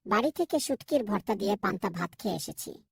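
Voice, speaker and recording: A woman voicing a calm, honest, pious young schoolboy in a cartoon, speaking politely and earnestly. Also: mid-range voice, brisk, vocal fry, husky, no echo, studio quality, exaggerated voice. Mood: polite, matter-of-fact. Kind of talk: cartoon voice acting.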